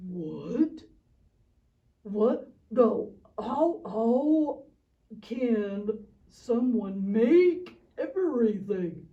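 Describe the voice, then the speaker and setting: A woman voicing a naive, curious, excitable little frog in a children's church puppet show. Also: on the high side, drawn-out words, recorded from a distance, muffled recording, heavily acted. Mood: amazed. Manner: childlike, wide-eyed, exclaiming.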